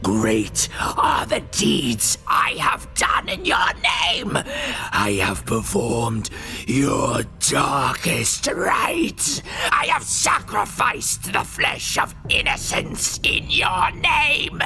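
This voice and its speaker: An actor playing a fanatical human champion of Chaos in a fantasy video game, ranting theatrically with a harsh, gravelly voice. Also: male voice, mid-range voice, wildly swooping pitch, sharp tone, faint music behind, full character voice. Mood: fervent, pleading. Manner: bombastic, ranting, drawn-out theatrical delivery. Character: fanatical male human champion of Chaos and plague-god worshipper.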